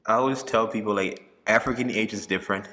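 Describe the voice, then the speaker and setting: A young man, a podcast guest telling his faith story in a thoughtful, unhurried way with frequent ums and easy laughs. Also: lowish voice, pushed and bright, big-room sound. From Liberia. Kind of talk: faith testimony in casual interview.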